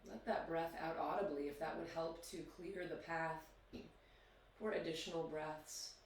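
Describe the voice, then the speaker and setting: A man leading a guided yoga meditation, speaking slowly and soothingly with long pauses. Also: fairly high pitch, fairly even intonation, a little hiss or hum. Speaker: yoga teacher. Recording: very echoey, distant mic.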